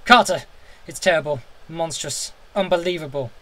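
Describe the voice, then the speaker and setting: A man's voice: fairly high pitch, normal speed, a bit bright, close to an everyday voice. A man voicing a terrified, despairing man who urgently warns his friend to flee in a horror story. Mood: horrified. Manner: frantic, urgent, crying out. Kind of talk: dramatic horror story reading.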